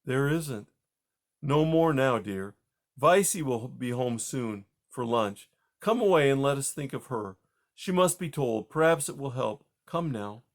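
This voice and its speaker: A man voicing a calm husband who soothes his wife in a measured, firm, somewhat patronizing way. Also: medium pitch. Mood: soothing.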